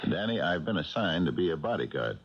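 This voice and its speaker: Very deep-voiced old police sergeant. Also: male voice, big pitch swings, husky, heavily acted. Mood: dry, steady. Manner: hesitant, deliberate, musing delivery. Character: old retired police sergeant recalling his past, wry and patient. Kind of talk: 1970s radio drama acting. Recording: dull vintage radio recording with music.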